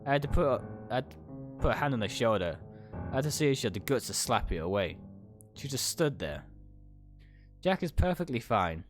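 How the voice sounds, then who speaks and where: Obsessive female kidnapper for a visual novel, played by a man: possessive, coaxing, commanding, swinging pitch, soft music underneath. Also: big pitch swings, fairly quick, long pauses, lightly acted. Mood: spiteful, gloating. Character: obsessive, possessive young woman kidnapper, proud and menacing. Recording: music behind the voice.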